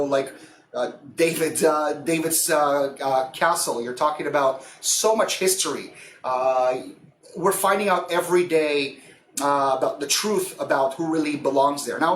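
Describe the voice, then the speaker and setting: A man hosting a show, talking in a casual, earnest monologue full of hesitant fillers. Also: a little high. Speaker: show host. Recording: echoey room with faint background noise.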